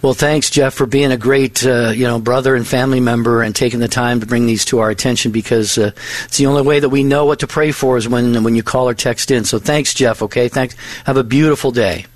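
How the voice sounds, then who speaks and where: A friendly, measured man co-hosting a prayer call-in show. His voice is mid-pitched and recorded dry and close, with no room sound around it. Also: steady tone, dry recording. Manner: friendly, measured, reads listener texts aloud. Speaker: radio co-host.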